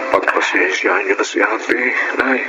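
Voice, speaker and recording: An actor playing a calm, conciliatory grown son, speaking patiently in a Filipino television melodrama. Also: male voice, on the low side, normal speed, over loud music, close to an everyday voice, low-quality recording. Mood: soothing. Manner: soothing, patient.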